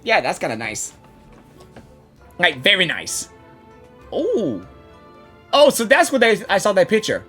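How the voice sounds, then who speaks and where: A woman's voice: on the low side, huge pitch swings, measured pace, pauses often, fairly bright tone. A woman streamer giving casual, rambling reactions to a game as she plays, often repeating her words. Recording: game music behind voice.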